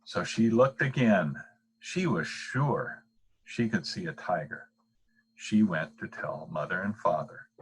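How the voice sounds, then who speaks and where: An older man reading a children's bedtime story in a deliberate, expressive narrating style. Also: low-pitched, poor audio. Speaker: storybook reader.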